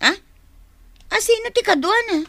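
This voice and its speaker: A woman's voice: extremely high pitch, lively intonation, a little slow, a bit bright, heavily acted. A woman playing a middle-aged vendor wife in a radio drama, plaintive, nagging and jealous, full of exclamations. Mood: suspicious, angry. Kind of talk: Ilocano radio drama acting.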